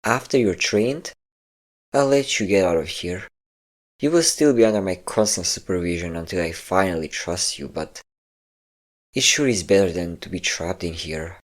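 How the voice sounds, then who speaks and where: A man performs a possessive vampire lord with a deep male voice. He is mocking and smug, lingering on pet names as he teases. The delivery is intimate, in the manner of an audio roleplay about an obsessive lover. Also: natural voice. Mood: controlling, pleased. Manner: smug, teasing, drawn-out endearments.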